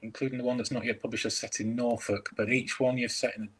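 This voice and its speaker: A man's voice: mid-range voice, level tone, quick pace, a little hiss or hum. A man chatting as a crime author over a video call, hesitant with many ums and restarts. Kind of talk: casual interview chat.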